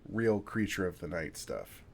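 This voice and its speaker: A man playing a kind, wry older vampire in an intimate ASMR roleplay, talking in a gentle, casual, dryly sarcastic way. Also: low-pitched, very animated, a little slow, gravelly, a little hiss or hum, heavily acted. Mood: wry, self-deprecating.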